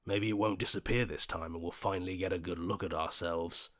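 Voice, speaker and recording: A man voicing a level-headed, questioning hero in a video game, speaking earnestly in short deliberate lines. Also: sits low, steady tone, a bit bright. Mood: pondering.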